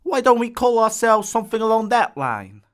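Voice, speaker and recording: A woman voicing a supportive, food-loving sheep in an audio story, expressive and easygoing. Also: on the high side, normal speed. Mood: encouraging. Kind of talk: children's story character acting.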